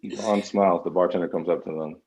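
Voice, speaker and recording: A man with a gravelly, husky voice reading scripted stage directions, on slightly dull audio. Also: low voice, fairly even intonation, quick pace, a little mellow. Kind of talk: scripted narration.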